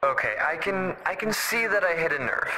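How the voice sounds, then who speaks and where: A man's voice: sits high, expressive, moderate pace, bright, forceful tone, exaggerated voice. A man playing a nosy small-town radio host who pushes his guest and keeps needling him. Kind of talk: fan audio drama acting. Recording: reverberant with music underneath.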